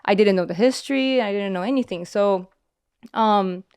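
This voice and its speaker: A woman guest telling her story in a casual interview, hesitant and soft-spoken, with many pauses and restarts. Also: medium pitch, smooth, no room sound, clean studio sound. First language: English.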